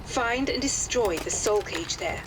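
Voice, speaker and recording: An actress playing a composed, businesslike woman who leads adventurers in a video game, with a brisk, formal manner. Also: medium pitch, animated, background noise. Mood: brisk, resolute. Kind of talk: video game cutscene acting.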